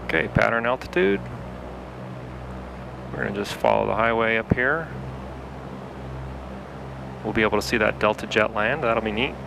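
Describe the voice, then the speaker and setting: Man flying a home cockpit sim, gravelly voice, casual running commentary, checklist callouts, steady fan hum behind. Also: lowish voice, normal speed, pauses often. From Salt Lake City, Utah. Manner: easygoing, talks himself through cockpit checklists. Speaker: home flight-sim pilot. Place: home-built cockpit simulator in a basement.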